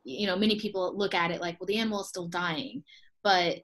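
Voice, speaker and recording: A woman's voice: lowish voice, steady tone, brisk, fairly bright tone. A young woman hosting a casual video call interview, upbeat and chatty, often laughing between thoughts. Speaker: host, expo co-owner.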